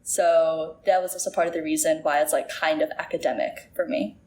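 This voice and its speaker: A woman's voice: sits low, fairly even intonation, brisk, darkish tone, slight background noise. A woman in her twenties, from Singapore and raised in Shanghai, talking casually as an interview guest with lots of "like" and "um". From Singapore, grew up in Shanghai. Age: 20s. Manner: chatty, many "like" and "um" fillers. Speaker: guest, university student and video creator.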